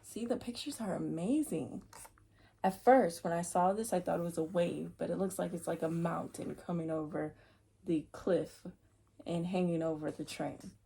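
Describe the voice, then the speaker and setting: A woman's voice: lowish voice, moderate pace, creaky, mellow. A woman hosting a children's read-aloud, chatting playfully to young listeners and reading aloud.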